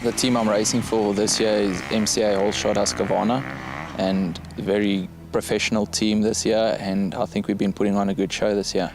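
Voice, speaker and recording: A man's voice: lowish voice, level tone, normal speed, background noise. A man in his twenties with a South African accent, giving a casual interview as a racer. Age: 20s. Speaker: motorcycle racer.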